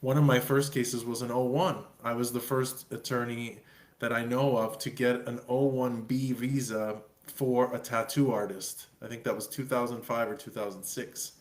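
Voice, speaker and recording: A man's voice: mid-range voice, steady tone, moderate pace. A middle-aged man from Argentina, an immigration lawyer, answering in an earnest, explanatory way on a video call. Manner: earnest, explanatory, repeats words as he restarts. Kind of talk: webinar interview answer. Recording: echoey room.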